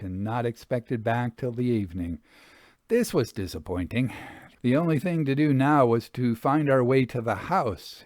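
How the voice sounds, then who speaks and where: A man reading a literary travel tale aloud in a theatrical, expressive way with deliberate phrasing. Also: medium pitch, dry recording, studio quality. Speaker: audiobook reader, storyteller. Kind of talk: dramatic literary narration of a Victorian travel memoir.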